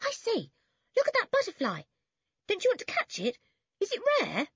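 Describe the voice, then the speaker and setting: A woman's voice: medium pitch, very animated, normal speed, slightly rough, dry recording, dull audio. A woman voicing a stern, cheeky schoolboy who scolds and teases in a storybook reading. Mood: excited. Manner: scolding, teasing.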